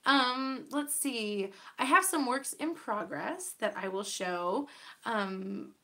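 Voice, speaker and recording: A young woman hosts a homespun knitting podcast, rambling happily through her projects. Her voice is raspy from a recent cold. She talks in a chatty, bubbly way, full of little fillers and asides. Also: on the high side, expressive, slow pace, bright, forceful tone. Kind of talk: casual show-and-tell chat.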